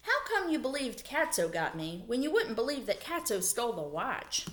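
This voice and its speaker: Cocky small male storybook mouse, played by a woman reader, bossy and impatient, high swooping voice. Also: very animated, moderate pace, fairly bright tone, a little hiss or hum. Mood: demanding. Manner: insistent, indignant, bargaining. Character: bold, impatient little male talking mouse.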